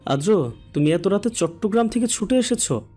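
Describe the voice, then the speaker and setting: A patient young male doctor in an audio drama, acted by a man. He speaks in a slightly high voice with a reassuring manner. Soft music plays behind him. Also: lively intonation, normal speed, husky. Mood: surprised. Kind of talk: Bengali audio story acting. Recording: music under the voice.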